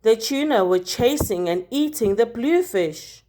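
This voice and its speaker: A woman reads a children's story aloud at a slow, careful pace. Her voice sits fairly high and moves up and down in a lively way, leaning on key words for drama. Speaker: teacher reading a picture book aloud.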